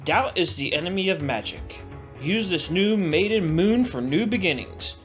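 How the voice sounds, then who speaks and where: An excitable young male cadet, acted by a man in an audio drama. His voice is notably high and swoops around, slangy and sardonic, with faint music behind. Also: normal speed. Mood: intrigued. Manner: slangy, animated.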